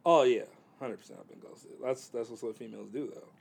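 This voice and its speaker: A man in his thirties with a gravelly, mid-range voice talks casually about dating. He rambles in a laid-back way and leans on fillers as he thinks. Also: mid-range voice, fairly quick. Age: 30s. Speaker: guest.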